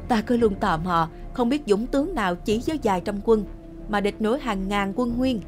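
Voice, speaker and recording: A woman's voice: mid-range voice, normal speed, faint music behind, close to an everyday voice. A woman voicing an arrogant, scornful male invader commander in a historical cartoon. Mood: smug persuasive. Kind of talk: cartoon history drama acting.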